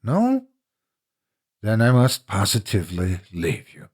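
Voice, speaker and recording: Man acting a mockingly polite, vengeful gentleman, swooping pitch. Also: huge pitch swings, takes it slowly, breathy edge, exaggerated voice. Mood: mocking courtesy. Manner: theatrical, mockingly courteous. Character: coldly vengeful gentleman murderer, mockingly polite to his victim. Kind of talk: gothic horror storytelling.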